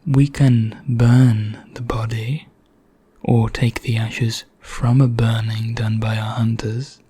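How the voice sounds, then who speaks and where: Man playing a hushed, breathy, slow-spoken immortal vampire. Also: medium pitch, flat delivery, dry recording. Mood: confiding, secretive. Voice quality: hushed, breathy, often whispering. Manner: slow, deliberate, genteel and conspiratorial. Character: bored, secretive immortal male vampire, darkly courteous. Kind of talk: ASMR roleplay.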